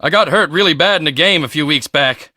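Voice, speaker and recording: A man voicing a cocky, boastful teenage high school football star in a video game, with a swaggering, emphatic, self-important delivery. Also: a little fast, sharp tone, no echo, studio quality. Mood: uneasy, confessing. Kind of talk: video game character dialogue.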